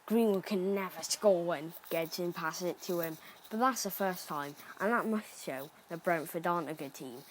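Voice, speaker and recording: A young man from Cardiff with a Cardiff Welsh accent, chatting excitably through gameplay commentary with rambling asides. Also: sits high, moderate pace, hardly any pauses, slight background noise. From Cardiff, Wales. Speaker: gaming YouTuber.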